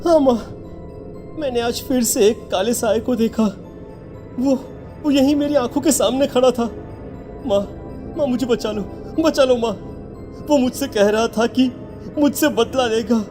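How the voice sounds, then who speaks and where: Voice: medium pitch, a little slow, pauses often, warm tone, soft background music, close to an everyday voice. A performer voicing a timid teenage schoolboy in a horror story, fearful and hesitant as he speaks. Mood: uneasy.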